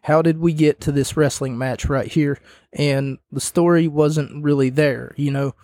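A man's voice: fairly high pitch, steady tone, measured pace, darkish tone, no room sound, studio quality. A man giving a casual spoken review and recap as host, rambling with jokey asides, his voice starting to wear out. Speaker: wrestling show reviewer, host.

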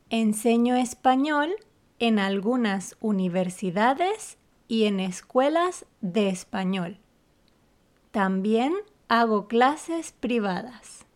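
A woman from the Canary Islands, a teacher co-hosting a slow, scripted beginner lesson with clear, deliberate phrasing. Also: a little high, animated, darkish tone. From Canary Islands, Spain. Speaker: podcast co-host, Spanish teacher.

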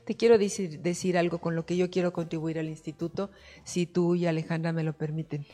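A woman's voice: lowish voice, little pitch movement, a little fast, warm tone. A woman hosting a talk show, speaking in an affectionate, emotive and expansive way. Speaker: host.

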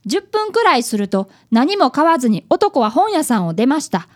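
Very high-pitched earnest schoolboy detective. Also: female voice, very animated, normal speed. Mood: narrating. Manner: polite, earnest. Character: earnest polite schoolboy apprentice detective, about eleven. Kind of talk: radio drama acting and narration.

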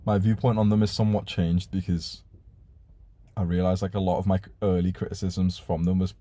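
A man's voice: deep voice, level tone, fairly quick, warm tone, no room sound. A young man whose first language is Urdu, hosting a casual live stream and reading out donation messages in a laid-back way, swearing casually.

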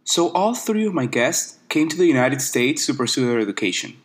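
A man's voice: mid-range voice, sing-song, fairly quick. A young man hosting a podcast, narrating scripted segments and chatting with his guests with friendly agreement. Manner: clear narrating with friendly back-channel agreement. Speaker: podcast host. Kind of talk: scripted narration and casual chat.

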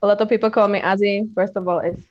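A woman's voice: medium pitch, quick pace. Girl, laid-back podcast guest, slightly muffled sound. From Czech Republic. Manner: relaxed, casual, restarts sentences. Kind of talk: casual interview.

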